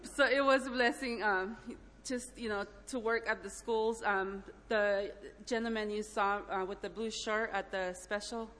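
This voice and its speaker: Halting, emotional woman in an echoey hall. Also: mid-range voice, steady tone, a bit bright. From El Salvador. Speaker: church mission trip member giving a testimony. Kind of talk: informal church testimony. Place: large indoor church hall, speaking up front. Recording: echoey hall with long reverb.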